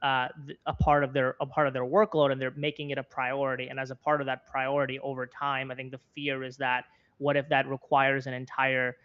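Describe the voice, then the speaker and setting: A young American man talks through his opinions as an interview guest. His voice is smooth and clear with a fairly flat melody, and he circles back to rephrase. The audio is slightly dull. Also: mid-range voice, brisk. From Florida, United States. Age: 20s. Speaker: interview guest, content creator and journalist. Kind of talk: conversational interview commentary.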